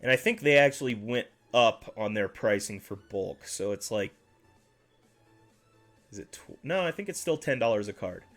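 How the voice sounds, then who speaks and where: A man's voice: medium pitch, expressive, normal speed, fairly bright tone, soft background music. Slightly nasal man chatting on a livestream. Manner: chatty, rambling, with self-corrections. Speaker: card-collecting livestream host. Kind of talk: casual livestream chat with viewers.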